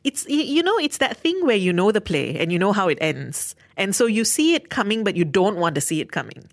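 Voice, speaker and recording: A woman's voice: mid-range voice, very animated, fairly quick, dry recording, clean studio sound. A woman talking through her thoughts on a stage play in a casual review chat, thoughtful and articulate. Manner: thoughtful, articulate, searching for words. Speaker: theatre reviewer.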